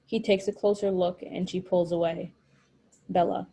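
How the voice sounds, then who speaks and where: A woman's voice: fairly low pitch, little pitch movement, moderate pace, dark tone, husky. A woman narrating stage directions from a screenplay at a table read.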